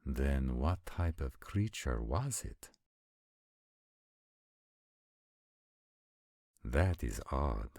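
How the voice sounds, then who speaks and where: Male actor as protective ancient supernatural warrior for roleplay audio: extremely low voice, formal measured diction, dark tone. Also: no echo, clean studio sound, exaggerated voice. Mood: puzzled, questioning. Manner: formal, measured, stilted diction with deliberate pauses. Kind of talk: scripted roleplay audio acting.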